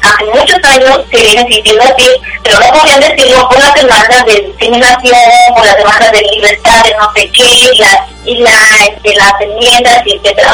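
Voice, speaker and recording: A woman from Mexico with a Mexican accent, giving her opinions emphatically in a casual discussion as an interview guest. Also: a little high. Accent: Mexican Spanish. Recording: roomy, distant phone-like call with music.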